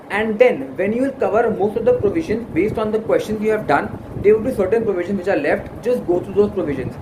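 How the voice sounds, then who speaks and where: A young man with an Indian English accent, a native Hindi speaker, giving earnest exam study advice in a halting way with many fillers and restarts. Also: high voice. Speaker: exam-prep YouTuber. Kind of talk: casual study-advice talk to camera. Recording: echoey room with background noise.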